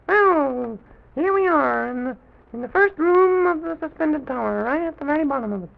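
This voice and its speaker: A performer playing a quaint, rambling, excitable little old gentleman in an old children's radio serial, with a high, creaky voice. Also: normal speed, full character voice. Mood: wondering. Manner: rambling, repetitive, exclaims "goodness me". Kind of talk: 1920s-30s children's radio serial acting. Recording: tinny, noisy old radio recording.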